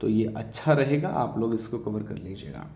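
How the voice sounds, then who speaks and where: A man's voice: on the low side, very animated, moderate pace, mellow. Man teaching in an echoey room. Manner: instructive, repeats check-in tags and asks questions he answers himself. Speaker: exam coaching teacher. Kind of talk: informal exam-prep lecture to students.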